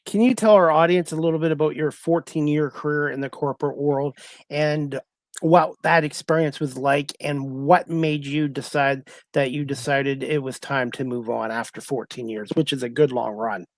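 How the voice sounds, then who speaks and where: A man's voice: fairly high pitch, steady tone, normal speed, dry recording. A man hosting a talk show, asking his guest questions and adding friendly joking asides. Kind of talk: interview questions.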